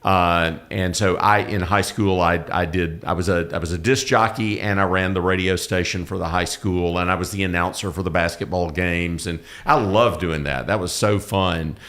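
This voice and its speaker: A middle-aged man, a pastor, talking casually about ministry in a loud, carrying voice, often restarting his sentences. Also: sits low, steady tone, moderate pace, few pauses. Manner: rambling with frequent restarts, laughs easily.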